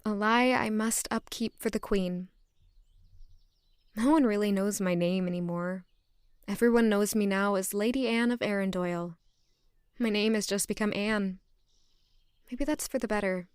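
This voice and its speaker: A woman's voice: mid-range voice, unhurried, long pauses, clear, resonant tone, no echo, studio quality, lightly acted. A woman playing an earnest young lady-in-waiting with a secret past in an audio drama. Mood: reflective. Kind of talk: audio drama dialogue and inner monologue.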